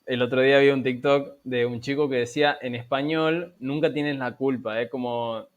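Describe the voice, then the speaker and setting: Argentine podcast host, muffled lo-fi sound. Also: male voice, medium pitch, fairly even intonation, normal speed. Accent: Argentine Rioplatense. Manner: laid-back, questions trail off, asides. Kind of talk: conversational interview.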